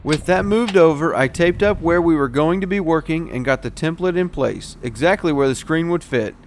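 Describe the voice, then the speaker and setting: A man's voice: on the high side, normal speed, a little hiss or hum. A man narrating a step-by-step boat repair how-to as the show's technician host. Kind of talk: explanatory how-to voice-over narration.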